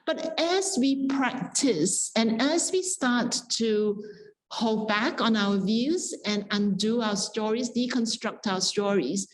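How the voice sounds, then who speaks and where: Slow-paced, reflective woman panelist. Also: a little high, poor audio. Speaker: panelist, Buddhist practitioner.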